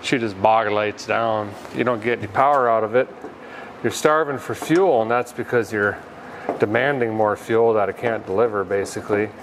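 A man in his thirties talking casually as he works, with a folksy, deadpan, rambling humor, as host of a garage repair vlog. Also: lowish voice, normal speed. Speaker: host of a car repair show. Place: large auto repair garage with concrete floor. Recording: clear background noise, garage ambience.

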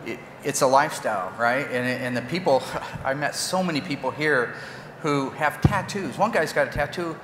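A man's voice: fairly high pitch, animated, moderate pace, a bit bright, a little hiss or hum. A man in his fifties, a car restorer, chatting casually and jokingly on a press event stage. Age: 50s. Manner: brash, joking, enthusiastic. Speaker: car restorer and show guest. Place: large convention hall stage. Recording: echoey convention hall with faint ambience.

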